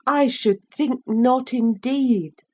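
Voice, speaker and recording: A woman voicing a gentle mother soothing her young son in a storybook reading, speaking slowly. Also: high voice, voice pushed up, full character voice. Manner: slow and soothing.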